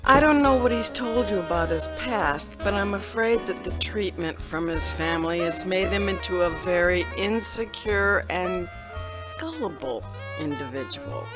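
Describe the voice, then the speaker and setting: A woman's voice: fairly low pitch, unhurried, music in the background, lightly acted. A woman playing a sultry, poised femme fatale wife in a parody noir radio drama. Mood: confiding.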